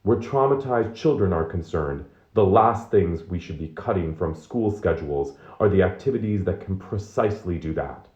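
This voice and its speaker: A man's voice: sits low, animated, normal speed, few pauses, darkish tone, muffled recording. A man reading nonfiction aloud as narration, in a roomy, echoing recording. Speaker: reader of a book excerpt.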